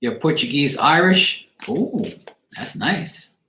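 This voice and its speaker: A man's voice: fairly high pitch, expressive, slow pace, pushed and bright. A man, chatting casually on a livestream in a blunt, joking, informal way. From Bermuda. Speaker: livestream tarot reader. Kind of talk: casual livestream chat with viewers. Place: living room, indoors. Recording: echoey room with faint noise, clicks.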